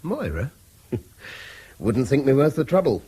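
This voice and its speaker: An actor playing a weary, reasonable but exasperated schoolteacher with a British accent in a radio drama. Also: male voice, mid-range voice, very animated, a little slow, mellow, breathy edge, heavily acted. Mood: dismissive, weary.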